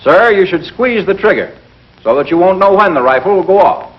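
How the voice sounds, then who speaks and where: A man's voice: sits high, lively intonation, a little fast. A man playing an obedient young army trainee, reciting lessons in a scripted 1940s training film. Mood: dutiful. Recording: dull old film soundtrack, slightly distant.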